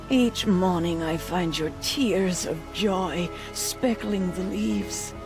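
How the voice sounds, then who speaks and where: An actress playing a pious, aloof outcast woman in a video game, speaking in reverent prayer. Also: medium pitch. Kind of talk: video game dialogue acting.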